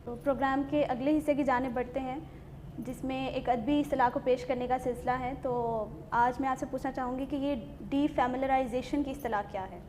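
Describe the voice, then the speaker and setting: Female host, high voice, polite and formal, in a reverberant room. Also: steady tone, brisk, a little mellow. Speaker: programme host. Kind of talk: hosting a literary discussion. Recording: reverberant room with faint noise.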